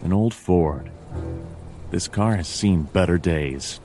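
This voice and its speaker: An actor playing a weary, deadpan detective in a noir adventure game, muttering dryly to himself. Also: male voice, low-pitched, slow pace, faint music behind. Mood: dry, unimpressed.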